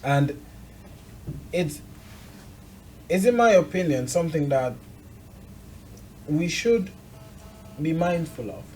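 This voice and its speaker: A man hosting a conversational discussion, probing and measured, building his points in short phrases. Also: fairly high pitch, lively intonation, darkish tone, a little hiss or hum, rough recording. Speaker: interviewer, host.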